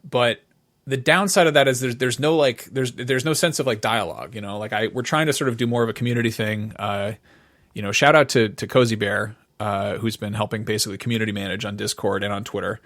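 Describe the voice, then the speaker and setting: A man's voice: lowish voice, fast, a bit bright. A middle-aged man co-hosting a casual podcast, circling his point and repeating phrases as he thinks aloud. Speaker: podcast co-host. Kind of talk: casual conversational podcast chat.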